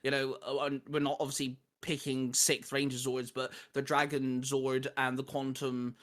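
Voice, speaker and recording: A man's voice: medium pitch, monotone, normal speed, fairly bright tone. A man hosting a casual top-list chat, talking in an enthusiastic, emphatic way. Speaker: video host.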